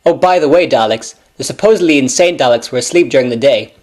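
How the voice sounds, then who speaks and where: Man playing a glib, animated time-travelling hero. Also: medium pitch, quick pace, lightly acted. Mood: glib, explaining. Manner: glib, wordy, mock-serious. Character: zany, self-mocking, flippant male time-travelling hero. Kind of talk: amateur scripted sci-fi parody.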